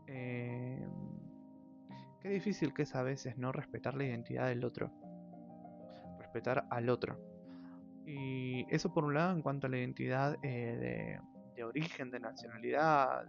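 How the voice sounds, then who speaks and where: Gravelly Argentine man musing aloud. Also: mid-range voice. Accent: Argentine Spanish. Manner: thoughtful, hesitant, many drawn-out fillers. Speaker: channel host. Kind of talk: reflective personal monologue. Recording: dull lo-fi audio with faint music.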